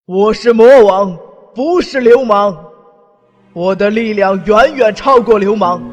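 A man's voice: sits high, slow, dull audio. A man playing a boastful, hot-tempered demon king who rants pompously, in a fan audio drama. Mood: haughty. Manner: pompous, ranting, indignant. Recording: long hall reverb with music.

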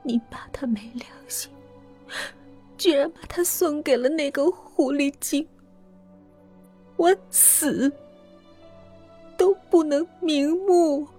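A woman voicing a dying, bitter mother in an audio story, breathy and whispering. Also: sits high, slow pace, pauses often, dark tone, faint music behind, voice pushed up. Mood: bitter dying plea. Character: dying, bitter mother urging her daughter.

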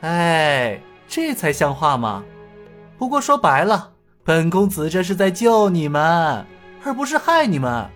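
A man voicing a playful, cocky young male swordsman who mocks his foes with drawn-out taunts in a martial-arts audio story. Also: a little high, very animated, a bit bright, faint music behind, voice pushed up. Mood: mocking. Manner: mocking, laughing, drawn-out taunts.

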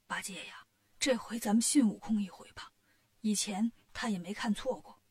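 A woman's voice: fairly high pitch, normal speed, close to an everyday voice. A woman voicing a timid, gullible male monk master who speaks in a weary, plaintive, fretful way for a story. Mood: hesitant.

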